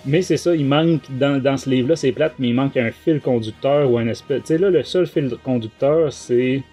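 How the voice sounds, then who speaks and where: A man's voice: medium pitch, moderate pace, dark tone, soft background music. A man with a Quebec French accent hosting a casual book review podcast, talking in a hesitant way. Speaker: podcast host.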